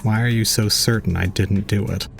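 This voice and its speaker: Man acting a low, brooding, aloof young man. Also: fairly even intonation, normal speed, gravelly, soft background music. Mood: cold, dismissive. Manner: formal, detached, cold. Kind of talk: scripted audio drama narration.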